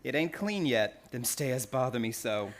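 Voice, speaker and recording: A woman's voice: extremely low pitch, expressive, measured pace, exaggerated voice. A woman playing a tired older landlady in a radio play, with folksy, uneducated, weary speech. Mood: weary, obliging.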